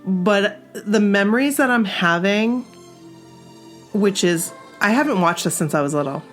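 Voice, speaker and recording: A woman's voice: medium pitch, animated, a little slow, soft background music. A woman with a Maine accent co-hosting a podcast in casual chat, nasal and congested from a sore throat, chatty and self-deprecating. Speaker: podcast co-host.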